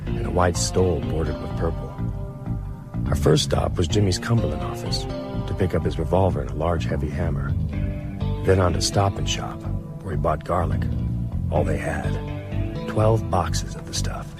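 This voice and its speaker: A man's voice: rumbling low, moderate pace, pauses often, loud music behind the voice. An actor playing a brooding man narrating a horror tale in first person for radio. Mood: measured recounting.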